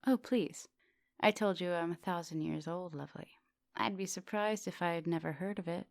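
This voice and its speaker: A woman acting as an ancient female vampire professor in an intimate ASMR roleplay. Her voice is very low with a gravelly fry, recorded close and dry. She is composed and formal in her wording, yet teasing and gently commanding, slipping at times toward a whisper. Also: moderate pace, no room sound. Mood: affectionate, curious. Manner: teasing, formal wording, soft dominant, often dropping into whispers.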